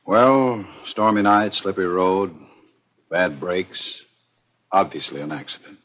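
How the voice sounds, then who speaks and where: An actor playing a polite police inspector in a 1940s radio drama, clipped and matter-of-fact. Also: male voice, deep voice, animated, slow. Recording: dull old radio recording.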